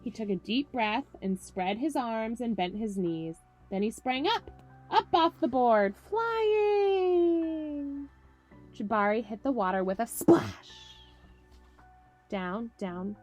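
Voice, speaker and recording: A woman's voice: a little high, expressive, slow pace. A woman presenting a story time for children, speaking simply and encouragingly, with music behind her. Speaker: camp director reading picture books to children.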